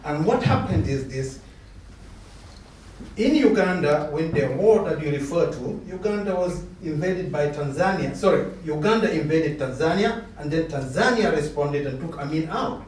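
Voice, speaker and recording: A man from South Sudan arguing forcefully from the floor of a public discussion, stumbling over restarts as he presses his point. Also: on the high side, expressive, moderate pace. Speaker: audience member asking a question. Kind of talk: impassioned comment from the floor. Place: large lecture hall. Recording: echoey lecture hall, distant mic, faint hiss.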